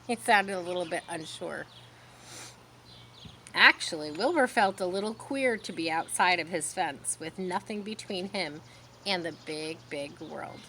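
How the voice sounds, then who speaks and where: A woman reading a children's story aloud expressively, pausing to explain words in simple asides. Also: on the low side, sharp tone, slight background noise. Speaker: storybook reader.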